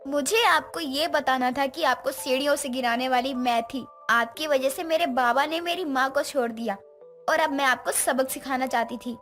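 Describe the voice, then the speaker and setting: Woman doing young stepdaughter in a family drama, high voice, emotive, music underneath. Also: moderate pace, fairly bright tone. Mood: earnest, confessing. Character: young stepdaughter, vengeful schemer who later repents. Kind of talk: dramatized Hindi moral story acting.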